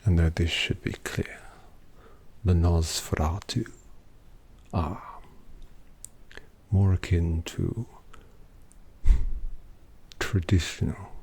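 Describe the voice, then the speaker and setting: A man voicing a languid, possessive male vampire artist in an ASMR roleplay, talking softly and teasingly to the listener. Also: sits low, fairly even intonation, full character voice. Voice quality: soft whispery, gravelly. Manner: slow, teasing, intimate address to listener.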